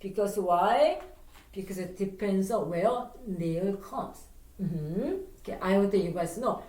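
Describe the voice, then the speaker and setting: A middle-aged woman with a Korean accent, teaching pronunciation in English and repeating words slowly so the student can copy them. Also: mid-range voice, lively intonation, warm tone. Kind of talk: lively pronunciation lesson. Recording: roomy sound from a distant mic.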